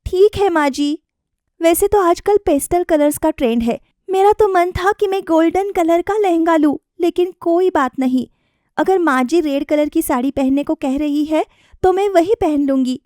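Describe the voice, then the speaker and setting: A woman's voice: very high voice, normal speed, a little mellow, no echo, studio quality, pitched above the performer's own voice. A woman voicing a wistful young daughter-in-law eager to please, earnest and plaintive, in a story. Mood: eager, dutiful.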